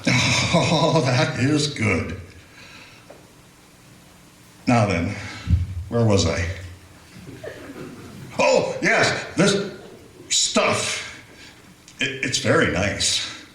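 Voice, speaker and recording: Man as sleepy rambling spoof-ad pitchman, trailing off, echoey. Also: sing-song, drawn-out words, pauses often, a bit bright, a little hiss or hum, heavily acted. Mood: drowsy. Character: drowsy, forgetful male pitchman in a spoof ad. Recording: roomy echo.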